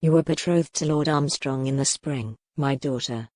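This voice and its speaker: Woman as a low-voiced, earnest older father. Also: moderate pace, warm tone, no room sound, studio quality, lightly acted. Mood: earnest, pleading. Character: gentle, insistent older father, bookish medieval nobleman. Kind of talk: audiobook character acting.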